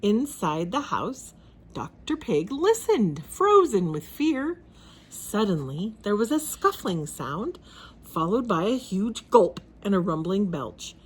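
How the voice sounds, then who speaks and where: A woman reading a children's storybook aloud in a dramatic, expressive narrating style. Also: medium pitch, slow pace, husky.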